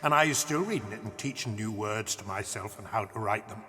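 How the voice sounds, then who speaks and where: An actor playing a gentle, timid old giant in an audiobook drama, speaking earnestly in muddled, homely grammar. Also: male voice, fairly low pitch, brisk, in a large hall. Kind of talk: full-cast audiobook dramatization.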